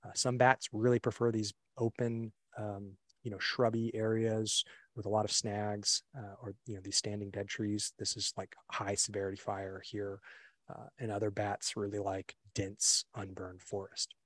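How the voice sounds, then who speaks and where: A young man with a fairly low voice walks listeners through a slideshow in a loose, conversational way, leaning on fillers and restarting his sentences. He is recorded dry and close through a computer mic on a video call. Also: moderate pace, no room sound. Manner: relaxed, lots of fillers and restarts. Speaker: webinar presenter. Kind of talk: casual slideshow presentation.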